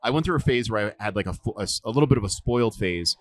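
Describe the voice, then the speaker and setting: A man in his forties, a survival instructor, telling stories in a relaxed interview, with lots of fillers and restarts. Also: fairly low pitch, rapid-fire. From United States. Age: 40s. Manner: rambling, many fillers and restarts. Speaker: podcast guest, survival instructor. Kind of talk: casual storytelling interview.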